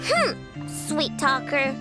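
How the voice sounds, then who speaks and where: A woman's voice: sits high, sing-song, drawn-out words, loud music behind the voice, heavily acted. A bratty young genius inventor girl. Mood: prickly. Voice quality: bratty, boastful. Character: proud young girl inventor, prickly and boastful. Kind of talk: video game voice acting.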